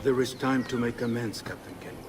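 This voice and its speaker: An actor playing a grave, measured village leader and assassin mentor, speaking formally in a video game cutscene. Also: male voice, medium pitch, background noise, close to an everyday voice.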